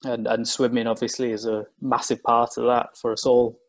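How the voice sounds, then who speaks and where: A man's voice: medium pitch, level tone, moderate pace, no room sound. A young man from Cumbria in England, chatting as a guest in an interview, hesitant and full of fillers and restarts. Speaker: guest, open-water swimmer. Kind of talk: casual interview chat.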